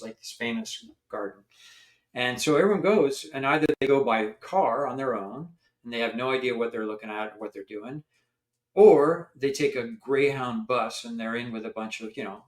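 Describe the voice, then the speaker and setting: An older man from Canada, a company founder telling stories in a chatty, animated way during an interview. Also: medium pitch. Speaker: founder and guest. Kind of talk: storytelling in an interview.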